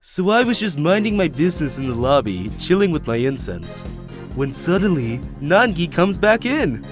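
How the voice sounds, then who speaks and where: A man's voice: a little high, very animated, normal speed. A man voicing a spacey, laid-back male yak witness with a drawling, dreamy way of talking. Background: background music.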